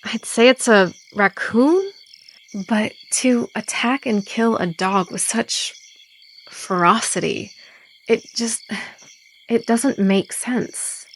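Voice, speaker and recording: A woman's voice: fairly high pitch, slow, a little hiss or hum. A woman playing a practical, level-headed small-town sheriff in an audio drama, thoughtful and matter-of-fact. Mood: puzzled, analytical.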